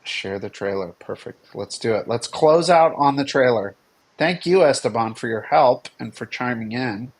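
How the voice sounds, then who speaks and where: A man's voice: mid-range voice, expressive, normal speed, husky. Man hosting a casual livestream. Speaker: livestream host.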